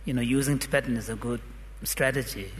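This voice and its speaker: A man's voice: medium pitch, fairly quick, long reverb tail, slight background noise. A man interpreting in a discussion, switching between languages and putting a question to the panel. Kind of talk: consecutive interpreting.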